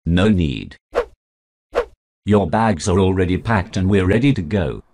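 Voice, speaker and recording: A man's voice: deep voice, lively intonation, unhurried, background noise, pitched below the performer's own voice. A man putting on a prim robot hat. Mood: dry, formal. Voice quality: polite butler-like, dry. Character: prim formal male robot hat sidekick.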